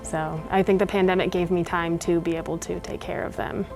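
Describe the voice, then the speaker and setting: A woman's voice: lowish voice, little pitch movement, a little fast, creaky. Wry young woman from Colorado. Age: young adult. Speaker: student interviewee. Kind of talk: documentary interview. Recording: music bed under the voice.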